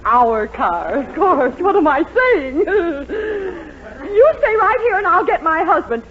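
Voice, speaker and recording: An actress playing a haughty, scheming matron, theatrical and gushing, in a 1940s radio comedy. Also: female voice, very high-pitched, sing-song, slow, full character voice. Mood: flustered, gushing. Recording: muffled, noisy 1940s radio recording.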